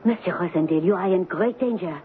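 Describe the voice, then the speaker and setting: A woman's voice: on the low side, brisk, narrow, tinny audio, close to an everyday voice. Actress as anxious noblewoman, urgent and earnest. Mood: urgent, secretive. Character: anxious, secretive noblewoman mistress warning of murder. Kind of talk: 1970s radio drama acting. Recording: thin, noisy old radio broadcast.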